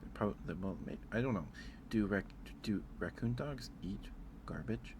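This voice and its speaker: A soft-spoken man hosting a casual ASMR stream, chatting with viewers and musing aloud in a hesitant way. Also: lowish voice, animated, normal speed, noisy background.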